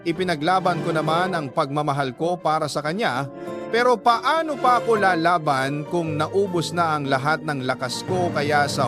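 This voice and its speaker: A male storyteller with a fairly high voice narrates a radio drama. His delivery is reflective and sentimental. Loud music plays beneath him.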